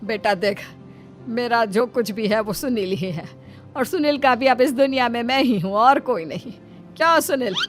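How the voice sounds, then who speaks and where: A woman voicing an ailing, possessive elderly mother-in-law, querulous and domineering, in an animated moral story. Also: fairly high pitch, moderate pace, natural voice. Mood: possessive, bossy. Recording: music behind the voice. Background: background music.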